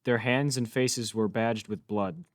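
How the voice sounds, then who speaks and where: A man's voice: mid-range voice, unhurried, no room sound, studio quality, natural voice. A man playing a grave Scottish lord in an amateur Shakespeare reading. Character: grave Scottish lord Lennox reporting a murder.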